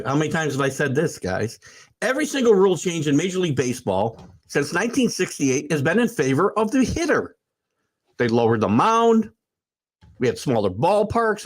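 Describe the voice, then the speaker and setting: A man in his sixties hosting a sports talk stream, rambling with many fillers and restarts, then building into emphatic rants as he answers viewer comments. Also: high voice. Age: 60s. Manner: animated, rising into emphatic rants. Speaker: sports talk show host. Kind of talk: livestream chat reading viewer comments.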